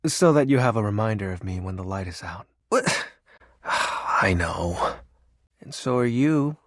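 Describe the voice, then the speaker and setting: An actor voicing a lonely, brooding personification of darkness for a video game, theatrical and grandiose yet awkwardly sincere. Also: male voice, on the low side, lively intonation, unhurried, fairly bright tone, no room sound, studio quality. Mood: fond, grandiose. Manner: theatrical grandiose villain speech slipping into awkward, wistful sincerity. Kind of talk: dramatic video game character acting.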